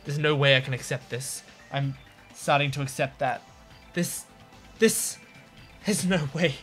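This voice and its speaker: A woman's voice: deep voice, a little slow, fairly bright tone, faint music behind. A woman streamer reading a video game's text aloud in a subdued way, with casual asides. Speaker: let's-play streamer.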